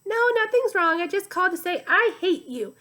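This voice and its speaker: A sneering male villain from a children's storybook, read by a woman. He is cruel and mocking, and his voice sits high and bright. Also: big pitch swings, moderate pace, full character voice. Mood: mock childish.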